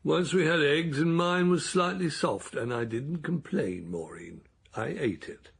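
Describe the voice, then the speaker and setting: An older actor as a slow-spoken, hesitant retiree, husky and gravelly, for a radio drama. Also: male voice, on the high side, full character voice. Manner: hesitant, trailing, soft-spoken. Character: retired man Harold, quiet and hesitant.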